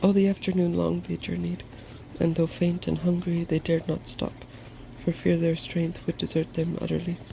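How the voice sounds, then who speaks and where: A soft-spoken man reading a fairy tale as an ASMR storyteller. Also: a little high, monotone, normal speed, vocal fry, few pauses, a little hiss or hum, low-quality recording.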